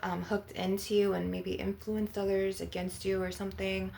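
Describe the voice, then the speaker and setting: A woman's voice: mid-range voice, flat delivery, moderate pace, vocal fry, darkish tone, a little hiss or hum. A woman leading an ASMR energy-healing session as a spiritual healer, guiding the listener in an instructive way. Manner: instructive, guiding breathing and visualisation.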